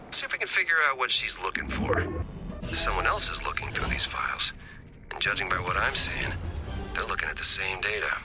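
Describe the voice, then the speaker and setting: An actor voicing a wry, excitable scientist rebel in a video game, sardonic and chatty. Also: male voice, low-pitched, fairly quick, music in the background. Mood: curious, wry.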